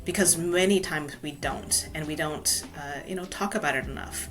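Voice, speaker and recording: A woman's voice: low-pitched, moderate pace, music in the background. A woman from Hawaii, a guest author telling her story in a casual podcast interview, filling her talk with "you know". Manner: chatty, many "you know" fillers.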